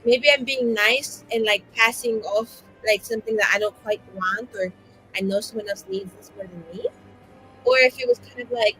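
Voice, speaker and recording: A woman's voice: fairly high pitch, fairly even intonation, moderate pace, fairly bright tone, faint music behind, close to an everyday voice, poor audio. A woman playing a chatty, earnest fantasy adventurer in improvised tabletop banter, rambling as she gossips. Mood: chatty, musing. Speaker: tabletop roleplay player.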